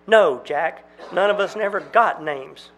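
Twin country girls with a drawl, quiet and reproachful, never raising their voices, as a male storyteller puts them on. The voice is low-key and a little gravelly, slightly high for a man. A faint hum runs under the reading. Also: a little slow.

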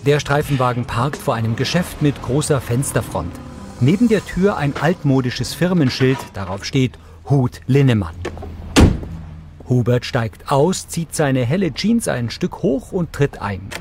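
A man reading scripted audio description as a narrator in a neutral, measured manner. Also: mid-range voice, lively intonation, noisy background.

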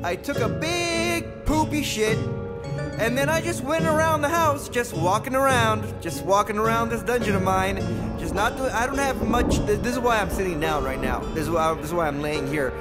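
Video game dungeon dweller played by a man: lonely, clingy chatterbox, effusive rambling, pitched extremely high over music. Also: normal speed, natural voice. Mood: eager, needy. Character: needy, lonely, chatty oddball living in a dungeon. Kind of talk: improvised video game character acting.

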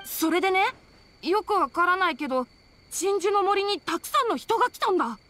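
Very high-voiced little boy youkai. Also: lively intonation, normal speed, bright, forceful tone, a little hiss or hum, heavily acted. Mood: relieved. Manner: childlike, simple, earnest phrasing. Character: small, innocent, timid young boy youkai. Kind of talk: video game cutscene acting.

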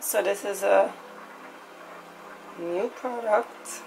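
A woman's voice: medium pitch, extremely slow, vocal fry, a little mellow, slight background noise, low-quality recording. A woman talking casually through a grocery haul, chatty, often ending lines with a questioning "right?". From Trinidad. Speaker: lifestyle vlogger.

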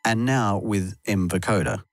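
A man reading scripted instructional narration in a measured, explanatory way, as a tutorial voice-over. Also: on the low side, faint music behind. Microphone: close voice-over mic, dry studio sound.

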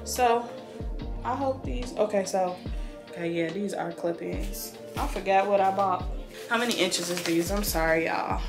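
A woman's voice: medium pitch, measured pace, over music. An American woman in her thirties chatting casually in a rambling, conversational way, as a vlogger talking to a camera in a room at home. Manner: conversational, rambling, thinking aloud. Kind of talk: casual chatty unboxing vlog. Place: small room indoors at home.

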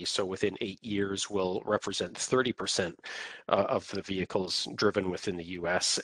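A man with a Canadian accent giving an informal webinar presentation in a halting, explanatory way. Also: on the low side, a bit bright, no echo, low-quality recording.

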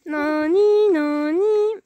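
A woman's voice: extremely high pitch, very slow, dark tone, highs cut off, a little hiss or hum. A woman playfully narrating toy play for a small child outdoors, in simple, repeated, sing-song phrases and questions. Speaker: parent playing with a young child on a kids' channel. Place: outdoors at a sand and gravel pile.

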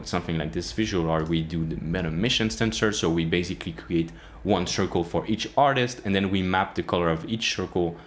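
A man in his thirties walking through code in a casual tutorial, talking in halting phrases with many fillers and restarts. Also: sits low, fairly bright tone. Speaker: programming tutorial host. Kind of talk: casual screen-share coding walkthrough. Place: small indoor room, plain wall.

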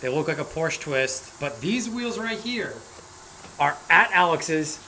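A man in his thirties hosting a car vlog, talking fast and with energy while working in a tire workshop. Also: on the high side, animated, pushed and bright, a little hiss or hum, rough recording. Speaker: car builder and vlog host. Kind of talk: casual on-camera vlog. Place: indoor tire workshop garage.

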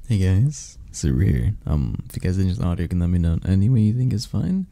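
A man with a very soft, dark tone talks casually to his audience as an ASMR performer. He sounds hesitant and self-deprecating, recorded dry and close. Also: sits low, big pitch swings, brisk, vocal fry, no room sound. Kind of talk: casual sign-off to viewers.

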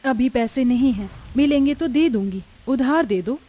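A woman's voice: high-pitched, expressive, normal speed, mellow. A woman voicing a poor, caring sister-in-law in a cartoon, with a humble, pleading manner.

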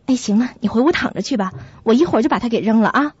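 A woman playing a sensible, caring young flatmate who narrates and acts in a radio drama, with a husky, breathy voice. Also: on the high side, very fast, no room sound, muffled recording. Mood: concerned.

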